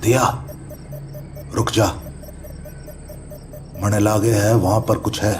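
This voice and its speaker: A man playing a serious, methodical paranormal investigator in an audio horror drama, speaking deliberate rustic Haryanvi-Rajasthani Hindi. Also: on the low side, level tone, darkish tone, slight background noise. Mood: alert, tense. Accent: Haryanvi-Rajasthani rural Hindi. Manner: deliberate, instructive. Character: methodical, serious male paranormal investigator, neighbourhood elder brother.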